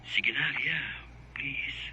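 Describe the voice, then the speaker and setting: An actor playing a smooth, pushy, wealthy married man courting someone in a radio drama, coaxing in a Filipino accent. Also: mid-range voice, extremely slow, dull audio, crowd noise. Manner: coaxing, switches between English and Tagalog.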